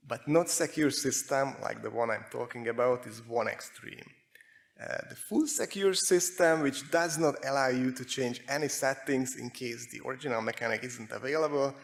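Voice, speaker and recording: A man with a Hungarian accent giving a prepared technical presentation, speaking in measured short phrases with pauses. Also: medium pitch, vocal fry. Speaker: conference speaker, security researcher.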